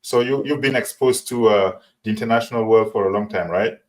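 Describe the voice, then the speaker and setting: A man hosting an interview, asking long questions with frequent pauses and restarts. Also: on the low side. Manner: halting, many fillers and restarts. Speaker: interview host.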